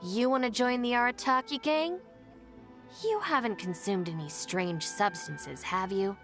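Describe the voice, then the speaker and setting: A woman's voice: mid-range voice, a little slow, over music, close to an everyday voice. A woman voicing a level-headed young female gang deputy in a video game, matter-of-fact and wry. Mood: exasperated, wary.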